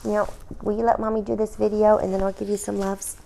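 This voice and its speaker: A woman's voice: medium pitch, flat delivery, brisk, gravelly, warm tone, poor audio. A middle-aged or older woman vlogging in a casual, chatty, folksy way, like a storyteller talking to her viewers.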